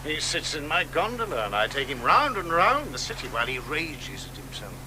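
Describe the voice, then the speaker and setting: An actor playing a calm gondolier in a radio drama, speaking in a gently lyrical, storytelling way. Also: male voice, fairly high pitch, brisk. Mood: reflective. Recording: radio broadcast with steady background noise.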